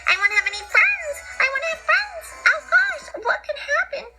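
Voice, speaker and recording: A woman acts out an excitable, distressed schoolgirl from a storybook. Her voice is very high and leaps all over, wailing and exclaiming, with music clearly underneath. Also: moderate pace. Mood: anxious, wailing. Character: distressed, excitable young schoolgirl covered in stripes.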